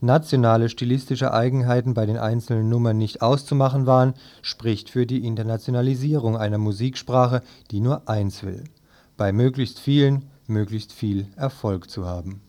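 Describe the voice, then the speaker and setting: A man with a soft, dark voice reads out newspaper commentary on the radio. His pitch sits in the middle range for a man. The delivery is scripted, as in a press review. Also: fairly even intonation, moderate pace.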